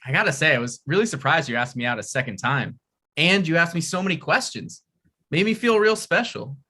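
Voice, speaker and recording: A man reading the part of an earnest, odd young guy who rambles on and trips over his words. His voice is bright and forward. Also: fairly high pitch, expressive, brisk. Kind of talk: table read of a comedy script.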